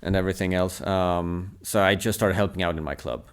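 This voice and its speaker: A Swedish man in his thirties, a native Swedish speaker, talking in English as a podcast guest. He speaks in a thoughtful, measured way, with frequent hesitant fillers. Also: sits low, steady tone, clean tone. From Sweden. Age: 30s. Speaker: national team table tennis coach, podcast guest. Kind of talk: reflective casual conversation.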